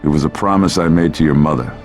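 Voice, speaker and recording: A man's voice: extremely low pitch, fast. An actor playing a grave, protective middle-aged military commander and regretful father in a video game. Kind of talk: dramatic video game cutscene acting. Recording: music under the voice.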